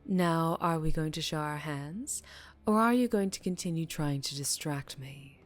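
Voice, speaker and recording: A woman playing a dangerously charming female mafia boss in an ASMR roleplay, teasing and menacing, with deliberate purring delivery that drops toward a whisper. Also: sits low, soft background music. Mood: smug, watchful. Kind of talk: ASMR roleplay audio drama, addressing the listener.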